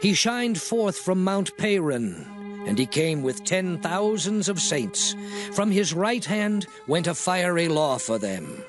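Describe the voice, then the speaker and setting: A man's voice: sits high, very animated, slow, full character voice. A man playing Moses, an old prophet, giving a grave, declamatory address in an audio drama. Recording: music clearly underneath.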